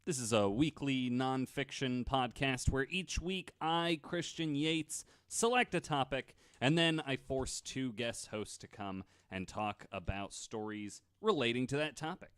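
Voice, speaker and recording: A man's voice: mid-range voice, a little slow, few pauses, a bit bright, clear, resonant tone, dry recording, clean studio sound. A man hosting a podcast, casually retelling stories with wry joking asides. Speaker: podcast host.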